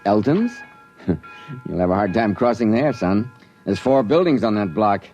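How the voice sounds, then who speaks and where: An actor playing a genial, avuncular older shopkeeper in an old-time radio drama, teasing in a folksy way. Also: male voice, fairly low pitch, expressive, a little slow, darkish tone, lo-fi sound, soft background music.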